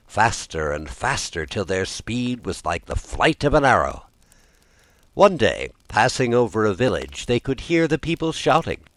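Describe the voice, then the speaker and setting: A man reading a fairy tale aloud as a storyteller, with an expressive scripted delivery. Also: fairly low pitch, no room sound. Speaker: storyteller, audiobook narrator.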